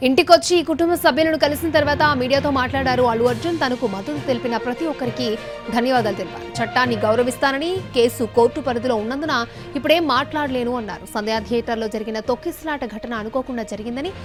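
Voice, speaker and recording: A woman's voice: high-pitched, very fast, over music. A woman narrating a news report in a scripted voice-over style.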